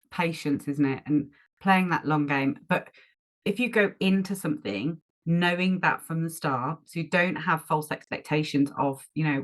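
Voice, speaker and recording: Low-voiced woman podcast host. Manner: agreeable, chatty, asks leading questions. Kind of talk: casual interview talk.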